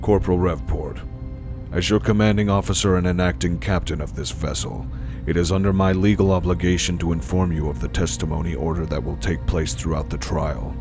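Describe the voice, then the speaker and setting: A stern starship captain in a sci-fi audio drama. His deep voice is formal and deliberate, full of authority, over clear background music. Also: fairly even intonation. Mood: stern, official. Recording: music under the voice.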